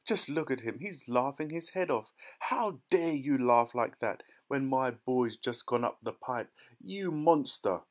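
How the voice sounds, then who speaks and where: A man voicing a hysterical, outraged mother in a children's storybook, shrill and indignant, snapping out the words. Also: expressive, unhurried, hardly any pauses, close to an everyday voice. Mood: furious indignation.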